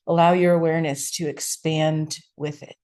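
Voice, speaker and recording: A woman teaching a guided meditation, giving gentle, unhurried instructions with long pauses between phrases. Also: low-pitched, flat delivery.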